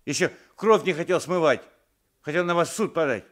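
An actor playing a simple, meek old peasant husband in a radio play, hesitant and homely. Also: male voice, a little high, brisk, close to an everyday voice. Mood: indignant. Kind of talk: Soviet radio play acting. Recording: 1980s radio drama recording.

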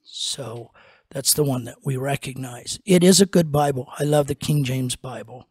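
An older man, a pastor and podcast host, teaching in a slow, rambling way with many fillers and restarts, on a studio mic in a small wood-paneled room. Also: mid-range voice, darkish tone, husky, no room sound. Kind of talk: unscripted teaching talk. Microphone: studio mic on a boom arm.

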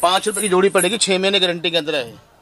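A man in his thirties, a wholesale shop owner, giving a sales pitch and rattling off prices with rapid, repetitive counting in a small shop. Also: sharp tone. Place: small indoor shop crowded with shelves. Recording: noisy shop recording.

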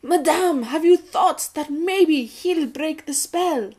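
A woman's voice: high voice, takes it slowly, a little mellow. A woman voicing an eager, optimistic talking candlestick in an audio drama. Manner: eager, persuasive.

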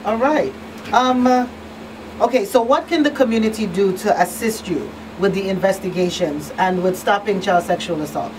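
A woman's voice: medium pitch, normal speed. A middle-aged woman hosting a radio call-in show in a casual, informal way, thinking aloud as she asks questions. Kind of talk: casual, conversational interviewing. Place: small radio studio. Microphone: studio mic on boom arm. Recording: slightly distant mic, faint noise.

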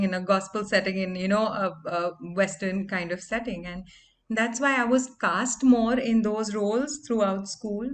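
Woman in her 30s, deeper voice, chatty and animated, home studio mic, mid pitch. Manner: animated, conversational storytelling. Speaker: singer and interview guest. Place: small room at home. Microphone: microphone on stand in home studio.

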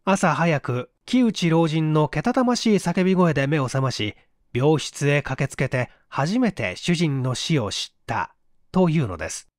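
A man reading a mystery story aloud as scripted audiobook narration, in an expressive, literary manner. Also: a little high, measured pace, no echo, clean studio sound. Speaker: audiobook narrator, stage actor.

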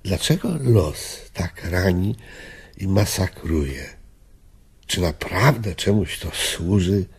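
An actor playing an old, principled lawyer in a radio drama, solemn and disillusioned, speaking gravely. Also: male voice, low-pitched, animated, a little mellow, exaggerated voice. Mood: melancholy, resigned. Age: older. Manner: grave, measured. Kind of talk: radio drama acting, reflective.

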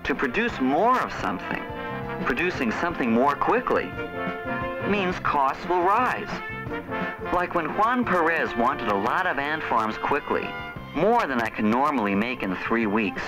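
An actor playing an earnest young small-business owner, explaining things emphatically in a 1970s educational film. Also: male voice, mid-range voice, very animated, measured pace, rough recording. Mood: explanatory. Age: young adult. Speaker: narrator and lead of an educational film. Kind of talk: scripted narration, 1970s educational film acting. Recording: music bed, aged 1970s film soundtrack.

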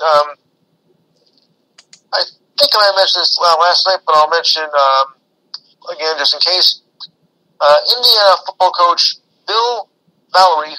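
Bright-voiced man reading sports notes. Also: a little high, poor audio. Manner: hesitant, many fillers, reads out sports items. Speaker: podcast host. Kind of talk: solo sports news podcast, reading notes.

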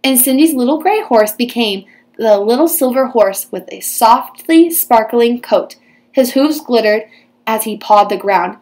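A woman teacher reading a children's storybook aloud with expressive, dramatized storytelling. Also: on the high side, unhurried.

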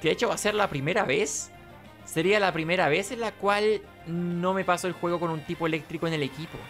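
A man's voice: sits high, a little fast, a bit bright, soft background music. A man giving casual, rambling let's play commentary, talking to himself. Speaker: gaming host.

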